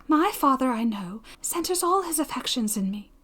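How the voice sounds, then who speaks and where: A woman reading a storybook voices an impassioned, gentle young woman with breathy, anxious, formal period speech. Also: high voice, lively intonation, moderate pace, voice pushed up. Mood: gentle, earnest.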